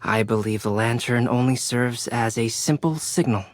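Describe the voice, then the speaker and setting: A man voicing a calm, perceptive scholar in a video game, measured and articulate. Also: medium pitch, dry recording, natural voice. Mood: composed, deductive. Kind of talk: English video game voice acting.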